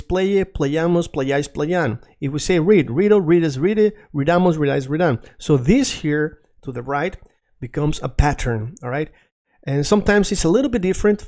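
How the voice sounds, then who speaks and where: Man, fairly high voice. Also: a little mellow. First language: Spanish. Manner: patient, checks in often with "right?". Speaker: language teacher. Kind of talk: explaining a language lesson.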